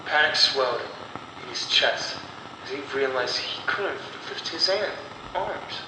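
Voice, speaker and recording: A man reading a scripted horror story as storyteller, in a deliberate, dramatic way with pauses between short phrases. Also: medium pitch, expressive. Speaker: storyteller and narrator of horror stories. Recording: roomy echo, distant mic, clear background noise.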